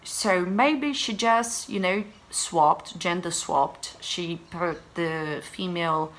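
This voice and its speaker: A woman's voice: lowish voice, slow, a bit bright. A woman whose first language is Portuguese, talking through a book review in a casual vlog, emphatic and exasperated as she rants.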